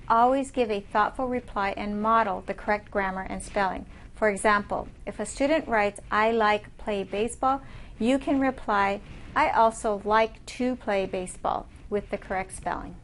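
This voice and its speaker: Female professor, mid-pitched, narrating slides in a steady teaching voice, faint hiss behind. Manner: reads slides aloud, explanatory, measured. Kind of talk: recorded lecture narration over slides.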